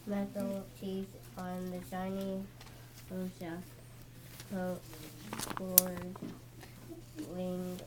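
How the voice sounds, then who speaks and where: A young reader reading a story aloud haltingly, word by word, with stumbles. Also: medium pitch, monotone. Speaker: student reader in readers theatre. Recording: noisy room recording.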